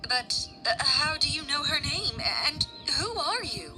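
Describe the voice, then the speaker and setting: A woman voicing a worried, sensible mother in a children's fairy tale, expressive and a little exasperated. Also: high voice, unhurried, soft background music, full character voice, low-quality recording. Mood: alarmed. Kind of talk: children's animated storybook acting.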